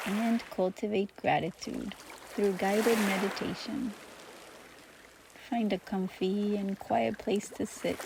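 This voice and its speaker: A woman teaching meditation, guiding listeners gently through breathing and gratitude with soft pauses between phrases. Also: mid-range voice, slight background noise. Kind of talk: guided meditation.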